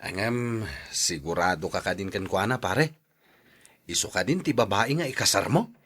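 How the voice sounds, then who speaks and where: A man's voice: lowish voice, lively intonation, normal speed, fairly bright tone. A man with an Ilocano accent playing the doubtful young buddy of a suitor in a radio drama. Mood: probing.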